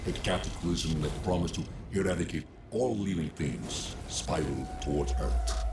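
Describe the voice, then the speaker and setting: An actor playing a solemn storyteller, narrating in a grave, measured, portentous way as in a cinematic game. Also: male voice, rumbling low. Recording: loud music under the voice.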